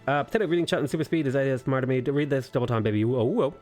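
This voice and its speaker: A playful man in his twenties streams a strategy game, joking around and reading chat comments aloud. His voice sits at a middling pitch, with soft music playing faintly behind him. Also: rapid-fire. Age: 20s.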